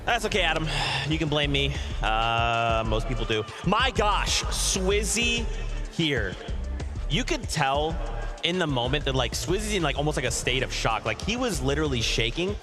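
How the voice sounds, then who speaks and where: A man giving unscripted esports analysis as a desk commentator, with loud music behind him. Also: on the high side, sing-song, normal speed.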